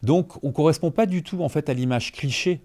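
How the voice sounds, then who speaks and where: A man's voice: a little high, a little fast, a little mellow. A man in his fifties, a journalist guest in an interview, making emphatic points and often checking that the listener follows. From Franco-Iranian. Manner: emphatic, peppered with "en fait" and rhetorical checks. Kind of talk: interview answers, argumentative.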